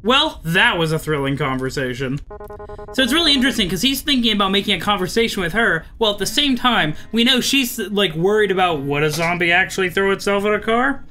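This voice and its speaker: A man's voice: high voice, expressive, brisk, sharp tone, faint music behind. A man hosting a visual novel playthrough, reading narration aloud with chatty, amused, wry asides. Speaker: let's play host.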